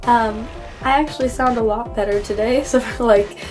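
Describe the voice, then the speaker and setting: A woman's voice: a little high, steady tone, normal speed, over music. A young woman vlogging into her phone camera, chatty and giggly, full of ums and likes, her voice still recovering from a cold. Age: young adult. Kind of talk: casual vlog chat.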